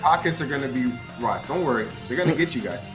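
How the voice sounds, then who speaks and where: A man's voice: mid-range voice, animated, quick pace, fairly bright tone, faint music behind. A man on a casual gaming podcast panel, arguing bluntly and repeating himself, with some swearing. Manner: opinionated, blunt, repetitive, swearing.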